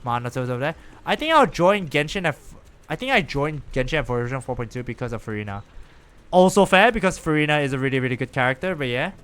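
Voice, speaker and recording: A man chatting casually with viewers and reacting out loud while he plays a game on a live stream. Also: medium pitch, fast, clean tone. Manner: chatty, animated, lively reactions while playing.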